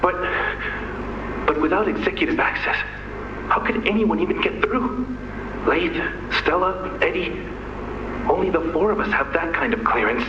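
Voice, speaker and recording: An actor playing a terrified, trapped warden in a video game, pleading and stammering. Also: male voice, on the high side, expressive, normal speed, long pauses, reverberant, lo-fi sound, background noise, natural voice. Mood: fearful, despairing. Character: panicked, terrified male prison warden trapped during a rebellion. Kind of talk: dramatic video game voice acting.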